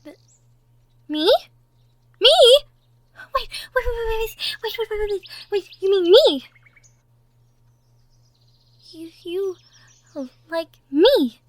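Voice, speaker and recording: A woman voicing a sweet, shy young cottage girl in love, gentle and stammering, in a girlfriend roleplay audio drama. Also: very high voice, expressive, slow pace, long pauses, no echo, heavily acted. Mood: flustered, surprised. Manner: stammering, gentle, breathy asides.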